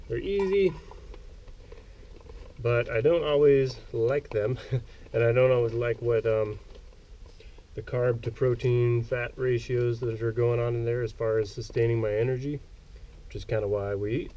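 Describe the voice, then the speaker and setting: A man's voice: mid-range voice, muffled recording. An American man in his thirties talking casually and easygoingly to camera, explaining what he is doing as he works, with some hesitation. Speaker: outdoor vlogger, presenter. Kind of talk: casual explanatory talk to camera while working. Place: outdoors in a small desert rock cave. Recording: outdoor camera audio with faint background noise. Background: faint outdoor ambience.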